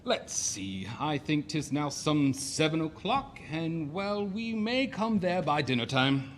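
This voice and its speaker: An actor playing a domineering, capricious husband in a Shakespearean comedy audio play, with a commanding, declamatory delivery. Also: male voice, a little high, big pitch swings, slow pace. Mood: grandly cheerful. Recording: noticeable background noise.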